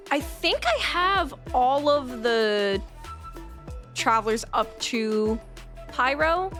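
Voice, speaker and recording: A woman's voice: a little high, a bit bright. A woman streaming a game, making casual, offhand and playful comments. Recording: game music behind. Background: game music.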